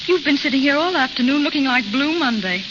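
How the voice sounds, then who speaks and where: A genteel young society lady, fairly high in voice and gently teasing. She is heard in a muffled, noisy vintage radio drama. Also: animated, quick pace. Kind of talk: 1940s radio romance acting.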